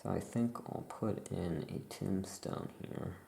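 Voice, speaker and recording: Really slow soft asmr host, heavy vocal fry, kinda musing and self-deprecating, bit of noise behind. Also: low voice. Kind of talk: soft-spoken ASMR, chatting while painting.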